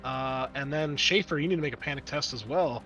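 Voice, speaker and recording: A man acting as game master, narrating the action and explaining rules to players in an animated way. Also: mid-range voice, a little fast. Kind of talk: narrating and refereeing a tabletop roleplaying game. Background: background music.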